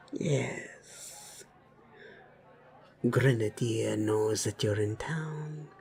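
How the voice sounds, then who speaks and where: Man playing a slow, whispery snake-man villain. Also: lowish voice, creaky, a little mellow, full character voice. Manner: slow, drawn-out, mock-courteous. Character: sly, menacing snake-man enforcer, softly polite and threatening. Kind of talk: audio roleplay villain.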